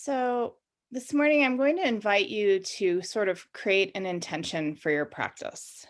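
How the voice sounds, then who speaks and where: A woman's voice: medium pitch, a little slow. A woman leading a guided meditation in an informal, conversational and inviting way, as the guide of the session.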